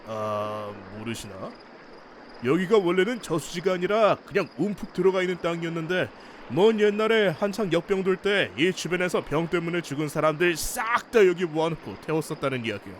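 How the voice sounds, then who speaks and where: Man acting easygoing fisherman, higher pitch, folksy, chatty, teasing, visual-novel character, faint cricket ambience. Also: animated, brisk, a little hiss or hum, natural voice. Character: easygoing chatty male night angler. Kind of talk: acted casual dialogue. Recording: faint night insect ambience behind voice.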